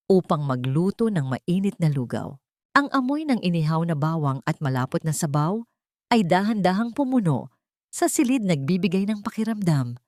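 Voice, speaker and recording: A woman narrating a scripted dramatic story in a measured, solemn, expressive manner. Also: fairly low pitch, dry recording, clean studio sound.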